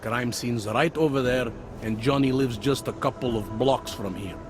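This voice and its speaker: A man's voice: mid-range voice, normal speed, close to an everyday voice. A man playing a wry, world-weary city cop over music. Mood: confiding, sly. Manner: wry, insinuating, tags questions with "eh" and "huh". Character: world-weary male city police detective, wry and pragmatic. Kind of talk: video game cutscene dialogue.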